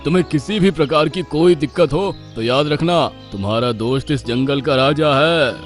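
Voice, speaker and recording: A man's voice: a little high, normal speed, soft background music, close to an everyday voice. An actor playing a proud lion king of the jungle, hearty and trusting, in a children's cartoon. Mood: generous. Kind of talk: Hindi children's cartoon voice acting.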